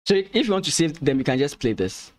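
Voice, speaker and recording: A man's voice: on the high side, big pitch swings, fast. Man thinking aloud over a chess stream. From Ghana. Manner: thinks aloud, hesitant restarts, laughs easily. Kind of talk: live chess commentary with chat.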